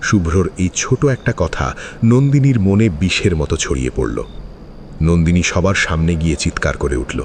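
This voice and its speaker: A man's voice: sits low, lively intonation, moderate pace, a little hiss or hum. A man narrating a suspense thriller in a dramatic, scripted storytelling style.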